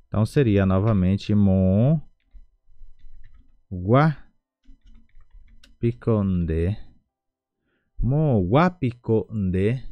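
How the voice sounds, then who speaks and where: A man with a Brazilian Portuguese accent teaching an online language lesson, slowly repeating each word syllable by syllable. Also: fairly low pitch, dry recording, lo-fi sound.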